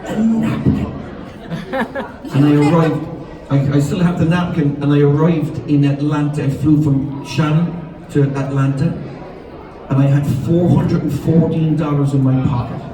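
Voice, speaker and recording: A man's voice: on the high side, noisy background. A man with a Cork Irish accent, telling a jokey story on stage and laughing as he talks. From Ireland. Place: large hall with audience. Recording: echoey hall, far off, crowd.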